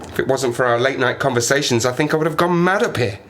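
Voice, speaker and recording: A man's voice: medium pitch, fast talker, fairly bright tone, a little hiss or hum, lightly acted. An actor playing a lonely, half-mad plane-crash survivor in an audio drama, rambling and self-mocking as he talks to himself. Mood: wry, manic. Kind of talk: audio drama monologue, diary-like.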